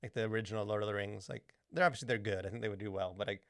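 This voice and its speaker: A man hosting a casual podcast discussion, talking in a halting, rambling way full of filler words. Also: on the low side, steady tone, a bit bright, smooth, no echo, studio quality. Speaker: podcast host.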